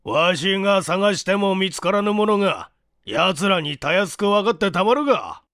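A man's voice: high voice, animated, moderate pace, fairly bright tone, no room sound, studio quality. A man voicing an irascible, domineering old male scientist in a barking, imperious manner, for a story reading. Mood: stern.

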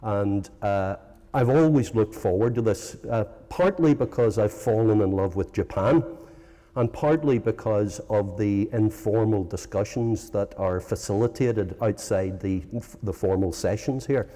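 Middle-aged Northern Irish lecturer, hesitant and halting, deep, in a big reverberant hall. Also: male voice, dark tone. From Northern Ireland. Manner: hesitant, many ums and uhs, self-correcting. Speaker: philosophy professor giving a lecture. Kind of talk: informal conference lecture. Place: large conference hall. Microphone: lectern microphone.

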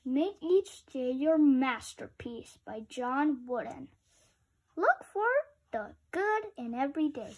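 Female read-aloud host, high pitch, slow delivery, informal and childlike in commentary. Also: lively intonation, a little mellow. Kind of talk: reading a picture book aloud with casual asides to children.

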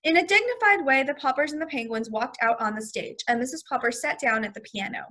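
Female elementary teacher, higher-pitched and bright, expressive storybook read-aloud with simple explanations for young kids. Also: fast. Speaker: first grade teacher.

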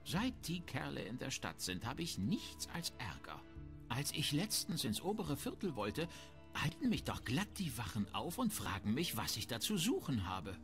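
A man's voice: medium pitch, wildly swooping pitch, moderate pace, a bit bright. A man playing a shrewd, grumbling, self-important town merchant in a fantasy video game. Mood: indignant grumbling. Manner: haggling, disdainful, self-important. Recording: music behind the voice.

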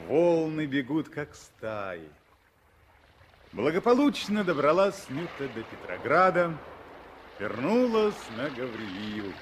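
Male narrator, medium pitch, solemn, measured, expressive, vintage radio storytelling. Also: background noise. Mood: lyrical. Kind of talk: scripted 1960s radio play narration.

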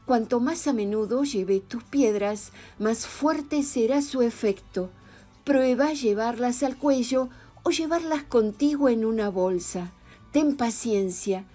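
Female narrator, scripted explainer read, calm and even; slightly high, warm tone over faint music bed. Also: slow pace. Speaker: channel narrator. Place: voice-over over stock footage. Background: soft background music.

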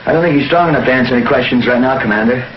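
An actor playing a protective heroic spaceman, matter-of-fact and measured, in 1950s science fiction television style. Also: medium pitch, a little hiss or hum, lightly acted. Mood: concerned. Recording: dull, lo-fi 1950s television soundtrack.

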